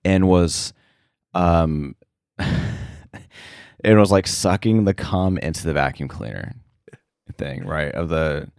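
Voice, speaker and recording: Very low-voiced man, halting and casual. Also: vocal fry, darkish tone, smooth, no echo, studio quality. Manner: halting, thinking aloud, frequent filler likes. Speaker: sound editor, interview guest. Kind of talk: casual interview, recounting work.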